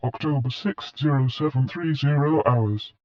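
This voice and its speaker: An actor playing an impersonal ship's log announcer in an audio drama, reading out in a flat, formal, clipped military way through a radio filter. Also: male voice, mid-range voice, normal speed. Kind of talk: clipped military timestamp readout. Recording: muffled radio with loud static and beeps.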